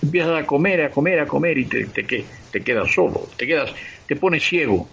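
A man's voice: on the high side, expressive, fairly quick, creaky, fairly bright tone, breathy edge. A man joking around as a co-host of a casual radio chat. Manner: jokey, wisecracking. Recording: dull radio audio with faint noise.

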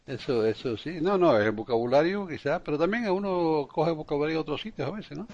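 A man's voice: fairly high pitch, animated, darkish tone, dry recording, dull audio. A man with a Cuban accent chatting casually, insistent and repeating words as he talks. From Cuba. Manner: quick, insistent, repeats words while talking. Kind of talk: casual chat.

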